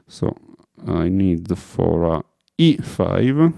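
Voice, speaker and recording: A man's voice: low-pitched, very animated, very slow, creaky, warm tone, no echo, clean studio sound. A man with an Italian accent explaining music production in a hesitant way, stopping often with fillers as he searches for English words. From Italy. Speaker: music tutorial host. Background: electronic music playing.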